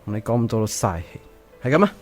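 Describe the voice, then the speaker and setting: A man's voice: fairly low pitch, very animated, fast, over music. A man acting in a Cantonese radio drama as a cocky, impulsive seventeen-year-old schoolboy with a Hong Kong accent. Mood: defiant, annoyed.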